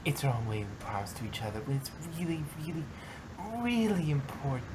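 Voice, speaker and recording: A woman voicing a timid, gentle, apologetic female cartoon pony with a hesitant, earnest manner, in a fan fiction reading. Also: rumbling low, very animated, quick pace. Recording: very noisy background hiss and ambience.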